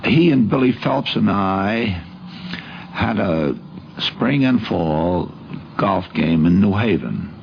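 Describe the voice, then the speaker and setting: A man's voice: low-pitched, expressive, slow pace, vocal fry. A man reminiscing emphatically as an interviewee in an old radio documentary, in a declamatory storytelling way. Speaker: interviewee recalling a late friend. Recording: muffled, noisy 1950s radio recording.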